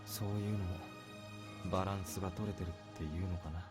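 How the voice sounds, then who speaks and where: A man as cool, very fast-talking operative. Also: sits low, steady tone, over loud music, natural voice. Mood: cool, resigned. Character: cool, laconic young male covert operative, unfazed. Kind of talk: visual novel voice acting.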